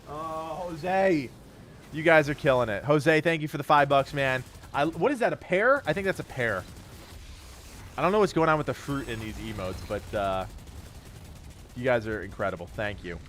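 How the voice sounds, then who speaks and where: A thirty-year-old man whose voice is going hoarse and stuffy, streaming live and thanking his viewers for donations in a grateful, rambling way. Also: fairly high pitch, lively intonation, normal speed, fairly bright tone, slight background noise. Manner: grateful, rambling, laughs often.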